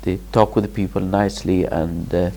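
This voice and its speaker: A man from Afghanistan answering interview questions as a guest, pausing and restarting often. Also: sits low, steady tone, gravelly, mellow. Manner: hesitant, many restarts and fillers, laughs in places. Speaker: guest, charity founder.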